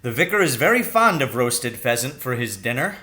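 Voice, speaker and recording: Man acting proud, assured dad, bright voice. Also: mid-range voice, very animated, fairly quick. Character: proud, confident poacher father.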